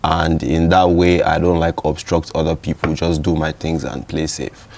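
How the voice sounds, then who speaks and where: Deep, mellow Nigerian man. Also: level tone, normal speed, gravelly. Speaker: podcast guest. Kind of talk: casual opinionated chat.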